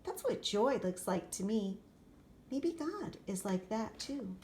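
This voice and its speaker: A woman reading a children's picture book aloud expressively, in short, deliberate phrases. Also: medium pitch, sing-song. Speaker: storybook reader. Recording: clear background noise, room ambience.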